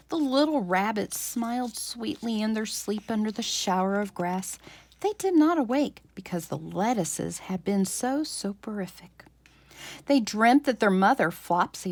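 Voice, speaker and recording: A woman reading a children's storybook aloud as a library storyteller, with expressive, dramatic emphasis. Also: mid-range voice, no room sound.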